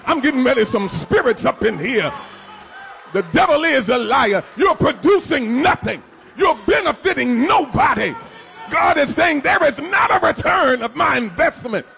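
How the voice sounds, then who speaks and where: A man's voice: very high voice, lively intonation, normal speed, gravelly, pushed and bright. A man preaching a fiery sermon, exhorting his congregation with commands and repeated refrains. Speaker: pastor. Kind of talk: shouted call-and-response sermon.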